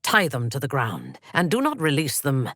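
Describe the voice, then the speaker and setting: Low, husky, ruthless female zealot warrior. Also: huge pitch swings, fairly bright tone, no room sound, studio quality, full character voice. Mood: cool, measured. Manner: measured, imperious, menacing, formal diction. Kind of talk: video game character voice lines.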